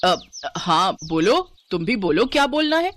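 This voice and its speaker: Woman acting greedy eatery boss woman, bullying, scolding, haughty, widely swooping pitch, cartoon moral tale. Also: big pitch swings, a little slow, fairly bright tone. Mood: curt. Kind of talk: Hindi animated moral story.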